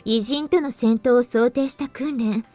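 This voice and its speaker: A woman's voice: a little high, fairly quick, warm tone, soft background music, natural voice. An actress voicing a businesslike young female team organizer in a visual novel, explaining matter-of-factly. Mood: brisk, explaining. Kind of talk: Japanese visual novel voice acting.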